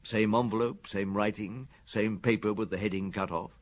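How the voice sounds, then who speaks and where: An actor playing a seasoned, reserved police chief inspector in an audio drama, with measured, understated delivery. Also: male voice, on the low side, steady tone, lightly acted. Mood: matter-of-fact. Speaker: lead actor and narrator. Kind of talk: audio drama acting and first-person narration.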